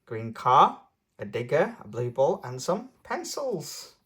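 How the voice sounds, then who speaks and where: A woman narrates a picture book for small children. Her pitch is very lively and her pace slow, and she adds playful little comments in simple phrases. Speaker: storybook reader and channel host. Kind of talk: read-aloud narration with playful asides.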